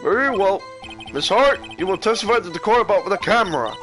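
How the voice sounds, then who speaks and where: A man's voice: high-pitched, animated, fairly bright tone, voice pushed up. Old male judge for a courtroom game, voiced by a man: stern, formal, weighty delivery, music underneath. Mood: solemn. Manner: formal, deliberate, solemn. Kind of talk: video game character voice-over.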